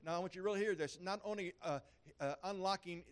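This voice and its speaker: A man preaching a prophetic word at a church meeting, fervent and building in intensity, with halting restarts. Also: sits high.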